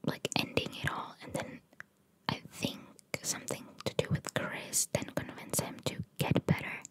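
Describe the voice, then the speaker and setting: Whispering ASMR woman. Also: normal speed. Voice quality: soft, unvoiced whisper. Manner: informal, musing asides with mild swearing. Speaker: ASMR content creator. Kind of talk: whispered ASMR reading of trivia with casual commentary.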